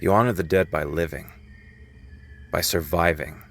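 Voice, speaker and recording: An actor playing a dead young friend who appears as a hallucination in an audio drama, calm, frank and reassuring. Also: male voice, sits low, moderate pace, faint music behind. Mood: gentle, urging. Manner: steady, familiar, gently firm.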